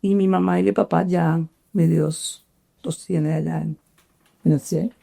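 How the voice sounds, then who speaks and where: A middle-aged woman from Cali, Colombia, with a Colombian accent, telling her life story in an interview, halting with many fillers and restarts. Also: on the low side, fairly even intonation, vocal fry, mellow. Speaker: interview guest.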